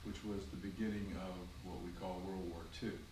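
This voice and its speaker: A man in his sixties from Virginia, a grandfather answering his grandchild's questions at home by the fire, explaining in a measured way with frequent ums and uhs. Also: sits low, fairly even intonation, roomy sound, distant mic, noisy background. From Virginia, USA. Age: 60s. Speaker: grandfather being interviewed. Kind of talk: family interview, casual recollection. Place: home, beside a fireplace.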